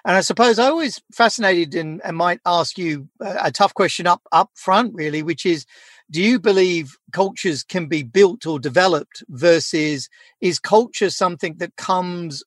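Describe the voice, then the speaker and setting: Guy hosting a podcast, slightly high voice, very dry sound, affirming, long wordy questions. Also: dry recording. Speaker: podcast host. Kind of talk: conversational interviewing.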